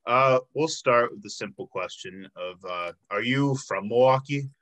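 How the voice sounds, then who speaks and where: A man's voice: medium pitch, normal speed, a bit bright. A man in his twenties from Illinois hosting an interview podcast in a friendly, chatty way, with plenty of fillers. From Illinois, United States. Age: 20s. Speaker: podcast host.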